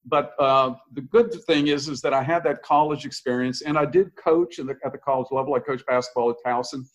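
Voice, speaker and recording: A man's voice: medium pitch, steady tone, brisk. A man past sixty speaks as a podcast guest, candid and a little self-deprecating. He tells his stories in loose, conversational runs, often restarting his sentences mid-thought. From United States. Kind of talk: casual conversational interview.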